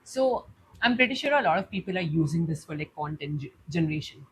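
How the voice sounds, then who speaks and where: A woman's voice: sits low, expressive, fast talker, slight background noise. A young woman with an Indian accent hosting a tutorial, chatting through a step-by-step demo and leaning on "like" as she explains. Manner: chatty, leans on "like" while explaining. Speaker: tutorial host.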